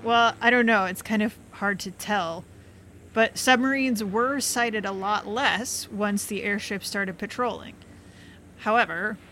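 A woman co-hosting a podcast, telling a story in a clear, scripted delivery. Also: medium pitch, a bit bright, a little hiss or hum. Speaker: podcast co-host. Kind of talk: scripted kids' podcast narration.

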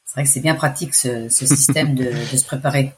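A woman's voice: very deep voice, steady tone, a little fast, darkish tone. Chatty woman with a French accent. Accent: French from France. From France. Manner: chatty, hesitant, restarts phrases. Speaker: expat interviewee. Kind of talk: casual interview testimony.

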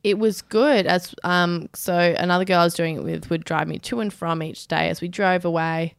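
A young Australian woman with a croaky voice, joking casually as a podcast co-host. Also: on the low side, fairly even intonation, moderate pace, no room sound, studio quality. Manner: playful, joking.

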